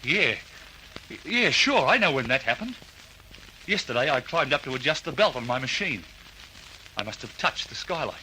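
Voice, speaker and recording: Sharp, cornered working-class suspect. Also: male voice, mid-range voice, sing-song, normal speed, long pauses, low-quality recording. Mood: flustered. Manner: truculent, blunt. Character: defiant, cornered working man suspected of arson. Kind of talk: old radio drama acting. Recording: old radio recording with hiss.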